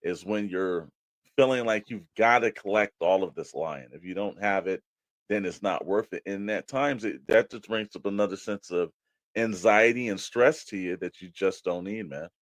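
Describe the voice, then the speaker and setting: Low-voiced man rambling casually on a podcast. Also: normal speed, dry recording. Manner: rambling, informal, conversational advice-giving. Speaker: podcast co-host.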